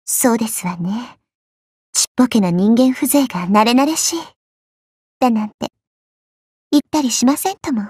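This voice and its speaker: A woman voicing a petite, haughty, teasing goddess for a game, with elegant, coy, ladylike speech and a soft, breathy tone. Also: fairly high pitch, normal speed, long pauses, no echo, studio quality. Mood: aloof, playful. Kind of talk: Japanese mobile game character voice lines.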